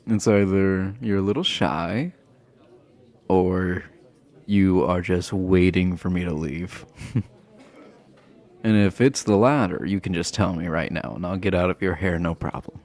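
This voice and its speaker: Man playing a deep-voiced flirty himbo jock. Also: darkish tone. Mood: playful teasing. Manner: halting, bashful chuckling, teasing. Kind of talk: romantic roleplay audio acting, addressed to listener.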